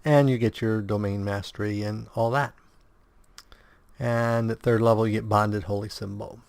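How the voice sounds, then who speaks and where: A man with a sore throat and strained voice hosting a casual game walkthrough, explaining choices in a halting way and correcting himself. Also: fairly low pitch, steady tone, creaky, a little mellow, smooth, dry recording. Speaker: video game guide host.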